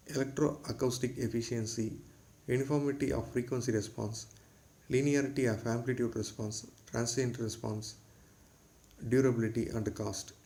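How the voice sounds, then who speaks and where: A man's voice: medium pitch, a little mellow. A man giving a formal, scripted lecture, read aloud in a textbook-like way.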